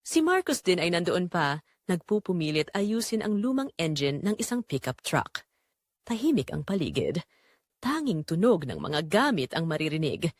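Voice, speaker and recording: A woman reading a scripted first-person dramatic story in an emotive, measured storytelling manner. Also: fairly low pitch, big pitch swings, no room sound, clean studio sound. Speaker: narrator.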